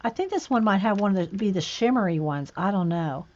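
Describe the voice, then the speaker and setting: A woman hosting a casual painting livestream, chatting with viewers and muttering soft asides while she works at a table. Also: medium pitch, animated, a little fast, dull audio. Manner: chatty, reads and answers viewer comments. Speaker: art livestream host. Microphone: overhead camera on hands and page.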